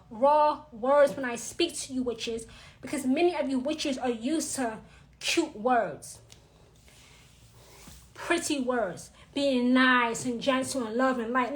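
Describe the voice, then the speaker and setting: A woman with a New York accent giving a blunt, emphatic livestream talk as a witchcraft teacher, with a slightly raspy voice and a habit of repeating her points. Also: sits high, sharp tone.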